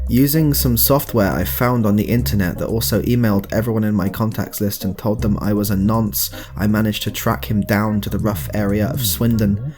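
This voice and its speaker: A British man narrating a mock documentary in a deadpan, scripted ASMR style. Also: on the low side. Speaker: host and narrator.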